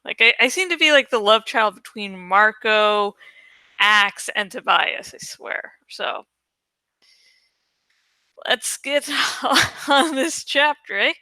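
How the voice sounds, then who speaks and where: A woman narrating a children's adventure novel aloud as an amateur audiobook reader, in an expressive style. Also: fairly high pitch, slow pace, no room sound.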